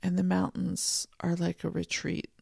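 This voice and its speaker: A podcast host giving a soft, contemplative solo monologue from a home office, voice a little froggy this morning. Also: medium pitch, completely flat delivery, creaky, husky, dry recording, studio quality. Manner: soft, slow and contemplative, with gentle restarts. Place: home office and art studio.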